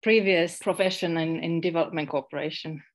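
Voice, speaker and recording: A middle-aged woman from Finland, a guest speaking casually in an interview, pausing and restarting often. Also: low voice, fairly even intonation. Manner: halting, restarts often, many fillers. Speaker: guest, social enterprise founder.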